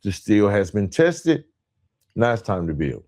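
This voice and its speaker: Low-voiced man giving an emphatic motivational talk. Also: big pitch swings, a little mellow. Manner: emphatic, deliberate, repeats phrases for emphasis. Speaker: podcast host and motivational speaker. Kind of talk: motivational monologue, part scripted.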